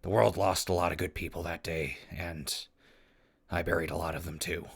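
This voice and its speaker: An actor in an audio drama playing a gruff, exasperated and weary wasteland survivor with a raspy voice. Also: male voice, deep voice, steady tone, moderate pace, full character voice.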